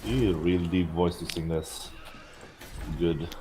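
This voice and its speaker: A man's voice: low voice, fairly even intonation, measured pace, noisy background. A man with a nasal voice chatting easygoingly with a friend during a game stream. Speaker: co-host friend. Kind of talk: casual gaming chat.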